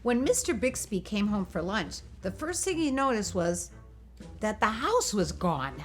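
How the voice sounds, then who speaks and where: A woman reading a picture book aloud for children, in an expressive, animated and emphatic storytelling delivery. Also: mid-range voice, a little slow. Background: music.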